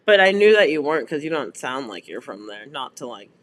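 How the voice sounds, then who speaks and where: A man chatting casually with friends, telling stories in an animated way with slang and swearing. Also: mid-range voice, brisk. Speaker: podcast co-host.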